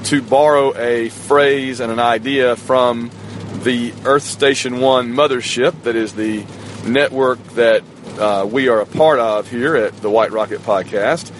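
A slightly hoarse man hosting a podcast solo in a casual, rambling way, recorded on a phone inside a moving car. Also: mid-range voice. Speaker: podcast host. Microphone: smartphone on the dashboard. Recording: road and rain noise inside moving car. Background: road noise and rain.

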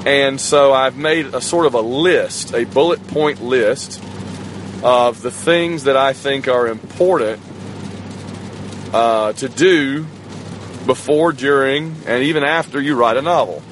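A hoarse podcaster rambling casually to his dashboard phone on a long rainy drive, road noise humming around him, a man alone at the wheel. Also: medium pitch, slow. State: slightly hoarse. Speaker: podcast host. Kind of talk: casual solo podcast monologue. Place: inside a moving car. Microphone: smartphone on the dashboard. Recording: road and rain noise inside moving car. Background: road noise and rain.

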